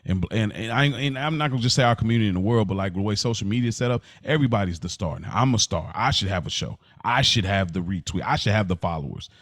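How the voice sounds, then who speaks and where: A man in casual podcast conversation, talking in a halting way and often repeating himself. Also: on the low side, a bit bright, dry recording, clean studio sound. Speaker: podcast speaker. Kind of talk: casual chat.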